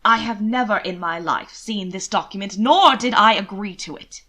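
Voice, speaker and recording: A woman voicing a middle-aged male earl in a period audiobook, blustering and indignant, with emphatic delivery. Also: medium pitch. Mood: alarmed. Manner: loud, emphatic, slow deliberate outrage.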